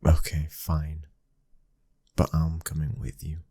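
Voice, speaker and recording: A man with a British accent playing a possessive young mafia boss's son, speaking in a low, intimate, commanding murmur for a boyfriend ASMR roleplay. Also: completely flat delivery, slow pace, dark tone, husky, no room sound, clean studio sound, heavily acted. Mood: teasing, yielding.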